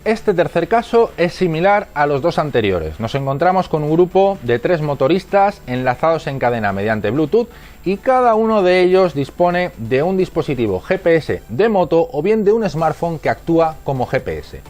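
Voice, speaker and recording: A man's voice: on the high side, very animated, moderate pace, a little mellow, faint music behind. A man with an accent from Spain reading scripted instructional narration in a methodical, didactic manner. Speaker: narrator of a product explainer.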